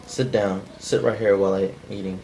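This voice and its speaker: Low, creaky, menacing drifter read by a man. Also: a little fast, slight background noise, lightly acted, low-quality recording. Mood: sly menace. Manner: flat, unpolished amateur line reading. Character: menacing, bitter, down-on-his-luck male drifter intruder. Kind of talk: cold table read of a film script.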